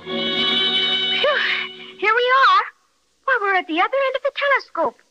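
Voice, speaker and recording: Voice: extremely high pitch, measured pace, pushed and bright, no echo, faint music behind, heavily acted. A performer playing a polite, eager little girl, earnest and inquisitive, in 1930s radio acting style. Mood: wondering. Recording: muffled 1930s radio recording.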